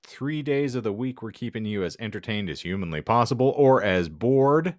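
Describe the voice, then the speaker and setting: A man's voice: medium pitch, animated, normal speed, no room sound, clean studio sound. A man hosting a casual comedy podcast, joking in mock-grandiose, wordy phrases. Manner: jokey, mock-grandiose wordplay. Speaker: podcast host.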